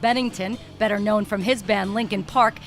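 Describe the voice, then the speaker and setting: A woman reporter narrating an entertainment news segment in a smooth, upbeat scripted delivery. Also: mid-range voice, fairly even intonation, moderate pace, soft background music.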